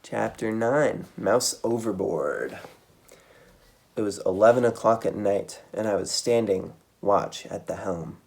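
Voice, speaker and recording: A man reading a children's book aloud as a storytime reader, with dramatic, expressive delivery. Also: fairly low pitch, slow pace, creaky.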